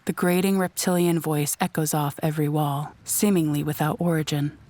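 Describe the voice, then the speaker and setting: A woman's voice: sits low, fairly even intonation, moderate pace, dark tone, no room sound. A woman narrating a scripted audio drama in a descriptive storytelling manner.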